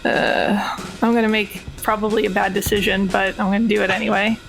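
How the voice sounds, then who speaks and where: A woman's voice: mid-range voice, flat delivery, a little fast, soft background music, poor audio. A woman playing a tabletop game, chatting informally and thinking aloud with a wry manner. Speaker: player in a tabletop role-playing podcast. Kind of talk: casual game chat.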